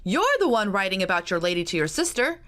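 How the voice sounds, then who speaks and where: Woman playing a mocking, teasing gentleman. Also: mid-range voice, big pitch swings, fast. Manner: teasing, showy.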